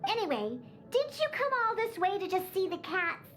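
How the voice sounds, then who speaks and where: Very high-pitched feisty cat girl. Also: very animated, moderate pace, a bit bright, a little hiss or hum, full character voice. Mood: indignant, determined. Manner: indignant, bossy, cutesy. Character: feisty young cat-girl bartender who despises alcohol. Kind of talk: video game character acting.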